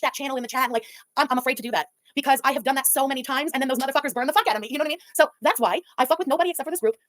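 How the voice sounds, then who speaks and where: A woman livestreamer talking loudly and profanely in casual chat. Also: high voice, dry recording. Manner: loud, fast, profane.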